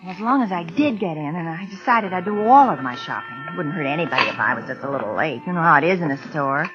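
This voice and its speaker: Expressive, chatty secretary, vintage radio. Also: female voice, low-pitched, quick pace. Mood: rueful storytelling. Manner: conversational, expressive storytelling. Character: chatty, flustered working secretary narrating her mishap. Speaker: radio drama actress. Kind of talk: 1940s-50s American radio suspense acting. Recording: muffled vintage radio recording, music behind.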